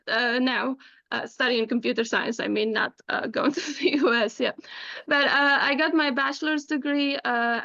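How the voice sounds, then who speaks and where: A woman's voice: sits high, fairly bright tone, dry recording, highs cut off. A woman from Tunisia, giving an informal online presentation as a guest speaker. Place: indoors, online video call.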